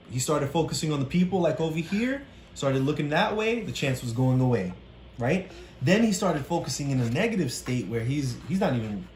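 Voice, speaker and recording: A woman with a deep voice and animated, up-and-down intonation explains a story to a child, encouraging and patient. The sound has noticeable room echo and a faint hiss behind it. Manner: encouraging, keeps checking understanding. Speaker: parent coaching a child through a read-aloud.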